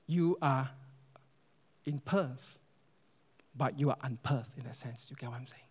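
A man with a Singaporean English accent preaching a sermon as pastor, animated and emphatic, repeating phrases for effect. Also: mid-range voice, unhurried, husky. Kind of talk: sermon to a congregation. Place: church auditorium. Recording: long reverb of a large church hall.

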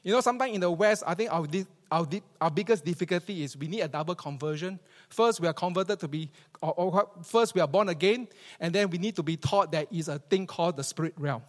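A man's voice: high-pitched, quick pace. A man with a Singaporean accent preaching a church sermon as a pastor, emphatic and repeating phrases for effect. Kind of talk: church sermon with scripture reading and storytelling. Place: large church hall, preaching to a congregation. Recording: reverberant hall.

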